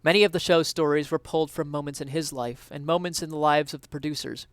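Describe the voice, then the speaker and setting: A man reading an essay aloud as a dramatic reading, with earnest, theatrical, deliberate phrasing, recorded close to the mic. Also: a little high, fairly even intonation, no room sound. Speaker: reader of an essay.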